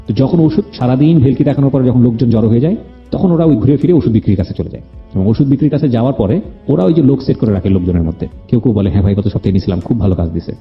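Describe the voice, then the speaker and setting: A man's voice: medium pitch, expressive, rapid-fire. A man with a Bangladeshi accent hosting an interview, speaking earnestly and probingly and mixing in English words. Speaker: radio show host. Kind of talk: conversational life-story interview with advice. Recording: roomy echo, dull muffled sound, music underneath. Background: background music.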